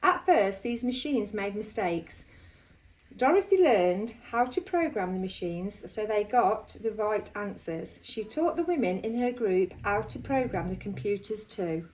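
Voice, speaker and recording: Woman, school storytime reader, medium-pitched voice, picked up from a little distance. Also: expressive, a little slow, a little mellow. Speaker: school staff member reading aloud. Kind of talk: storybook read-aloud. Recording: distant microphone.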